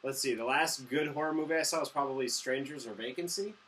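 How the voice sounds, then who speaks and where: A man's voice: mid-range voice, quick pace, fairly bright tone. A man co-hosting a casual movie review, chatting and swearing. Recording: distant mic with faint background noise.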